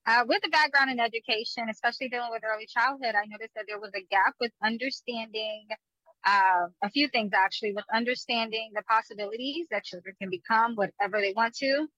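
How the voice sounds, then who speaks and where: A woman's voice: on the high side, brisk, pushed and bright, dry recording, highs cut off. A woman from DC, a guest on a show, answering upbeat and laughing between answers. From Washington DC. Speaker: guest, business owner. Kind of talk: casual interview talk.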